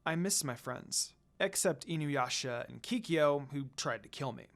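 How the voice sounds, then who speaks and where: Narrator as a soft, earnest young woman. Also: mid-range voice, expressive, unhurried. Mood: sad. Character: gentle, earnest teenage girl, kind but determined.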